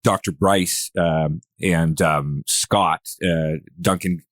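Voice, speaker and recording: A man's voice: low voice, steady tone, takes it slowly, no room sound, studio quality. A man with a Canadian accent hosting a casual podcast discussion, musing conversationally and putting questions to his guests. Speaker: podcast host.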